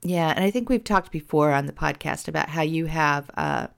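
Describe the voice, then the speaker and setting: A middle-aged woman hosts a casual podcast, talking in an animated, self-deprecating way. Her voice sits fairly low and sounds a bit hoarse from a cold. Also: moderate pace. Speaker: podcast host.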